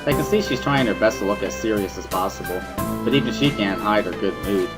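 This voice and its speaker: A man's voice: on the low side, a little fast, lightly acted. A man playing a reflective, easygoing schoolboy who narrates a dramatic reading in the first person. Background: music.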